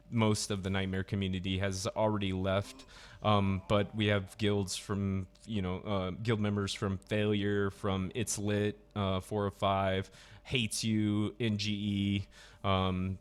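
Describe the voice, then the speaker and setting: Rambling man hosting a voice chat. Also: fairly low pitch. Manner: rambling, many fillers and restarts. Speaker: stream host. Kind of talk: casual voice chat.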